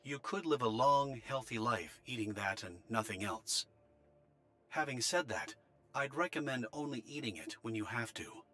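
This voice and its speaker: Man acting a bright-voiced, courteous official. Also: mid-range voice, animated, normal speed, close to an everyday voice. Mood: enthusiastic, reassuring. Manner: formal, courteous. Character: courteous, formal male official reporting to soldiers. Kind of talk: anime game voice acting.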